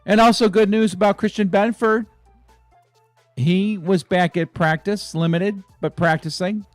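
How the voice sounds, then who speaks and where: A hoarse man hosting a sports fan channel, chatting casually and excitedly about his team's week. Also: high-pitched, unhurried, no room sound, faint music behind. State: hoarse, raspy voice. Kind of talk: casual fan vlog chat.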